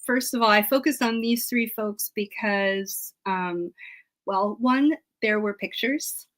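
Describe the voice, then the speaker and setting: A woman historian answers questions informally as an interview guest. She thinks aloud as she goes, pausing and qualifying what she says in a hesitant way. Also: mid-range voice, a bit bright.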